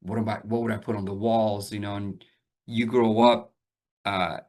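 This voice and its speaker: A man in his forties, born and raised in Albania, telling his story in a relaxed interview with lots of fillers and restarts. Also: on the low side, normal speed. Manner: talks with frequent fillers and repeated starts, laughs now and then. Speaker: realtor guest.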